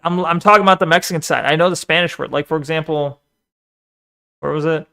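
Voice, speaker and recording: A man streaming and chatting casually, animated, swearing and talking back to the screen. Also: on the high side, fast, vocal fry, clear, resonant tone. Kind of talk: casual live-stream chat and video reaction.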